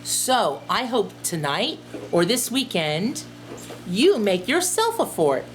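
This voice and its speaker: A woman teacher reading a storybook aloud and chatting directly to young listeners in an expressive way. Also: mid-range voice, takes it slowly, faint music behind. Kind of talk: children's storybook read-aloud and chat.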